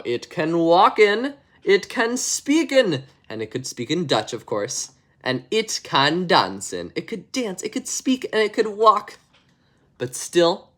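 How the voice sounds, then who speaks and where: A man narrating a children's bedtime story expressively, chatty and casual with ad-libbed asides. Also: fairly high pitch. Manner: expressive, chatty, ad-libbing around the text. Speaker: storybook reader.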